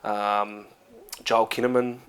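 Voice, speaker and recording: A man's voice: lowish voice, level tone, takes it slowly, a bit bright, clear, resonant tone. A man with an Australian accent, co-hosting a casual movie chat, rambling with many fillers and restarts. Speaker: co-host.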